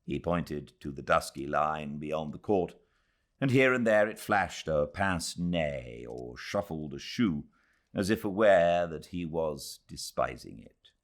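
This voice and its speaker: British man, low-pitched, widely varied intonation, measured literary audiobook narration, dramatic phrasing. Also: lively intonation. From Britain. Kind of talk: literary scripted narration, expressive reading.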